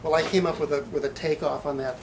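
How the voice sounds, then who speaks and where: A man giving a live poetry reading in a bookstore, with halting, hesitant asides and deliberate delivery. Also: on the high side, steady tone. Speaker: poet. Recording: light background room noise.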